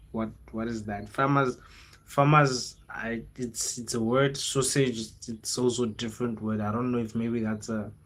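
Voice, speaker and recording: A man's voice: on the low side, fairly bright tone. A young South African man talking informally on a livestream, using plenty of slang, repeating phrases for emphasis and slipping into local languages. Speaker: livestreamer, content creator. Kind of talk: casual livestream chat, motivational rambling.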